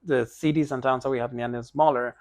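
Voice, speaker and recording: A man's voice: mid-range voice, fairly even intonation, quick pace, a little mellow, no room sound, studio quality. A man from Venezuela, a native Spanish speaker, chatting casually as a podcast guest, rambling with many restarts and fillers. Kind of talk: casual interview talk.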